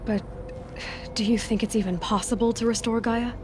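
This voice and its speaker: An actress playing a determined young huntress who speaks in a quiet, searching way. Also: female voice, a little high, fairly even intonation, normal speed, noisy background, natural voice. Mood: troubled. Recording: game audio with loud ambience.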